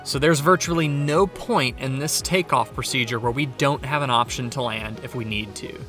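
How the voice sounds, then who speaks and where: A man's voice: medium pitch, moderate pace. A man, a pilot and flight instructor, delivering scripted voice-over narration as a channel host. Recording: clear music under narration.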